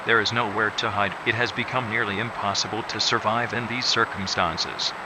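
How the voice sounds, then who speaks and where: An impassive government announcer played by a man reads an emergency alert in a fairly low voice. His delivery is official and measured, and heavy noise runs all through the broadcast. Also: fairly even intonation, close to an everyday voice. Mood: cold, official. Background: loud noise.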